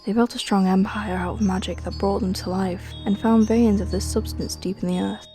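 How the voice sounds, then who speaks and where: A woman's voice: mid-range voice, fairly even intonation, moderate pace, dark tone. A woman narrating a scripted fantasy trailer in a solemn, dramatic storytelling manner. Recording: music behind the voice. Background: music.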